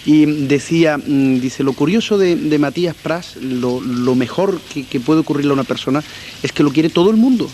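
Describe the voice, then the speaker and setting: A man interviewing a guest on local television, asking admiring, halting questions full of restarts. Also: fairly high pitch, lively intonation, darkish tone. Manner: hesitant, admiring, many restarts. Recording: faint background hiss, 1980s local TV.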